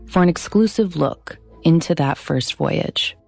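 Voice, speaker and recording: A woman in her thirties narrates a documentary podcast in a low, steady voice, reading from a script into a studio microphone. Her delivery is measured and deliberate, easing the pace on the words she wants to land, with soft music playing underneath. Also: gravelly. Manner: measured, deliberate, slows on key phrases.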